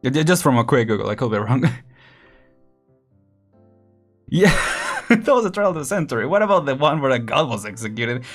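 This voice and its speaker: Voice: medium pitch, very animated, quick pace, soft background music. A streamer giving casual let's-play commentary, chatty and laughing often while thinking aloud.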